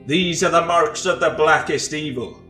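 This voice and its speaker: A man's voice: a little high, normal speed, pushed and bright. A man voicing a grim, zealous vampire hunter with solemn, preaching delivery in a fantasy monologue. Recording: echoey, music behind.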